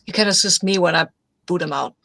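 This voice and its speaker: A woman's voice: lowish voice, quick pace, gravelly, sharp tone. A woman chatting casually as a player in a tabletop roleplaying game. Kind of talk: casual chat.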